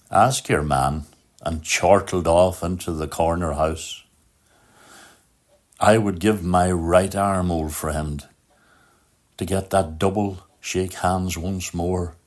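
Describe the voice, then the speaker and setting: A man with an Irish accent, a poet giving a spoken tribute and poetry reading in a measured, reflective way. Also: low-pitched.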